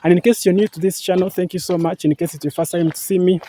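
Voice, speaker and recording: A man's voice: sits high, steady tone, fast, warm tone, slight background noise. A young Ugandan man with a Ugandan English accent, talking casually to camera on a walk outdoors, repeating himself often and thanking viewers again and again. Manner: repetitive, earnest, many fillers and thank-yous. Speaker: vlogger. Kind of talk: casual vlog talk to camera. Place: outdoors, walking through green farmland.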